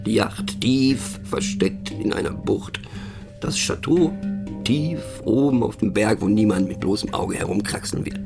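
Radio-play narrator: low-pitched gravelly eccentric, wry and rambling, conspiratorial, halting delivery. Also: male voice, lively intonation, over music. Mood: mock-earnest, wry. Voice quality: gravelly, husky. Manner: conspiratorial, halting, often dropping to a near whisper. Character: musing, mocking, eccentric male narrator, rambling and self-doubting. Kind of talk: satirical radio monologue addressing the listener.

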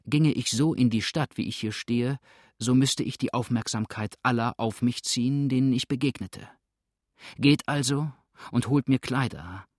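A man's voice: medium pitch, normal speed, no room sound, studio quality, lightly acted. A man voicing a hunted, ruthless outlaw in an audiobook, grim and threatening, with a sneering edge. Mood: cold menace. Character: hunted, ruthless male fugitive outlaw, exhausted and menacing. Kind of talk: audiobook character acting.

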